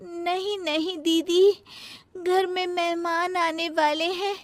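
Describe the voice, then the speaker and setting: A high, whiny young sister-in-law from a cartoon tale, played by a woman. She is lazy and scheming, with a put-upon manner and a very dry, close recording. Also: level tone, takes it slowly, no echo. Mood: feigned weakness.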